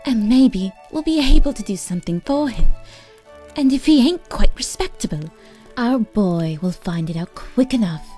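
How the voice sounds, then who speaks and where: Woman as soft-toned, sensible country mother. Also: mid-range voice, animated, normal speed, soft background music, close to an everyday voice. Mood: kindly, reasonable. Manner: soothing, homely country speech. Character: sensible, kindly rustic shepherd's wife and mother. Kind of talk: amateur audiobook drama acting.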